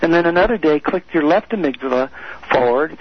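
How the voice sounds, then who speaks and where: A man's voice: fairly high pitch, animated, fairly quick, a little hiss or hum. A man speaking as a guest on a radio call-in show, coaching listeners in an emphatic, repetitive way. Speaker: guest expert on the brain. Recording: dull lo-fi radio audio.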